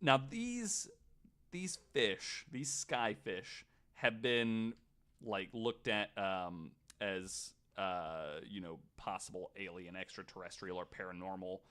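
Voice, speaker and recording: Give a man with a fairly low voice, speaking as a podcast co-host. He tells stories informally to his friends, with a dry skeptical streak. His delivery is halting, full of hedges as he feels his way through the account. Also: pushed and bright, clean tone. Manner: skeptical, wry. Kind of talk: casual storytelling to co-hosts.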